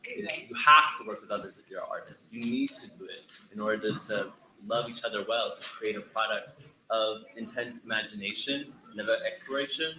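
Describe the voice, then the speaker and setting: Fast-talking fervent man on a panel. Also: fairly low pitch, reverberant, background noise. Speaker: panelist, artist. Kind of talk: earnest reflective talk. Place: theater stage.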